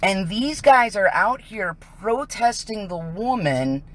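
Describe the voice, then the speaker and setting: A woman's voice: medium pitch, very animated, slow, no echo. Indignant American woman reporting live. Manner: indignant, emphatic. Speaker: citizen reporter livestreaming. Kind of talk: live on-scene commentary to camera. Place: outdoors on a residential street.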